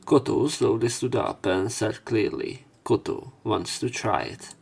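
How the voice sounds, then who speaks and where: A man's voice: fairly low pitch, a little slow, creaky, darkish tone, slightly rough. A man reading a visual novel's text aloud as a let's play narrator, putting emphasis into the spoken dialogue.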